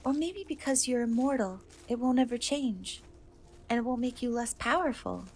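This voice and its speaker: A woman playing a gentle, wistful young woman cursed into a half-cat, in a soft, hesitant dark fantasy ASMR roleplay. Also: a little high, animated. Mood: earnest. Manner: hesitant, soft, slow, often trailing off.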